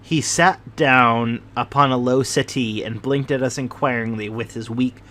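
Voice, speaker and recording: Man narrating a classic novel, mid pitch. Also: steady tone, unhurried, sharp tone. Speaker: audiobook reader.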